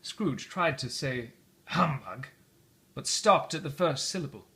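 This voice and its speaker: A man narrating a story aloud in a theatrical, expressive read-aloud style. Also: on the high side, normal speed. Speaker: storybook reader.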